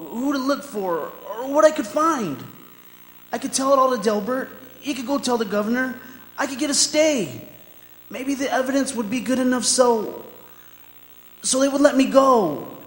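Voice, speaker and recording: An actor playing a frightened condemned man protesting his innocence, halting and intense, in a radio drama on stage. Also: very high-pitched, slight background noise. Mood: brooding, desperate. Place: performing arts center stage. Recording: echoey hall, live stage performance, music behind.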